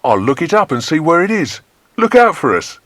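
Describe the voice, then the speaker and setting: A breezy young male pilot in a children's adventure story, put on by a male reader. He is chummy and deferential, with a raised, animated voice and a dry sound. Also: fairly high pitch, moderate pace, no room sound, pitched above the performer's own voice. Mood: keen. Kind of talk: dramatized children's audiobook dialogue.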